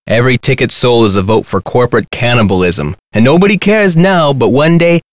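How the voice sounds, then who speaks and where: Guy doing a cocky young protester dude for a video game, sarcastic slang, animated delivery, dry close sound. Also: medium pitch, a little fast, dry recording, lightly acted. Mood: fervent. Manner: slangy, sardonic, preachy. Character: brash young male activist, sarcastic crusader against corporations.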